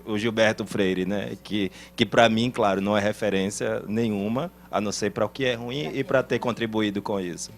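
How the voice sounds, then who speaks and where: A man with a somewhat low voice speaks up from the audience, asking his question politely and haltingly, often restarting his words. A faint hum sits under the recording. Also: fairly even intonation, gravelly. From Pernambuco, Brazil.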